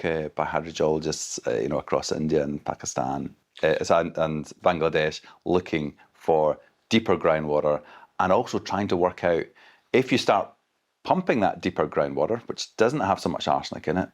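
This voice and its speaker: A Scottish man speaking as an expert guest, explaining things in a measured, careful, conversational way. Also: low-pitched. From Scotland.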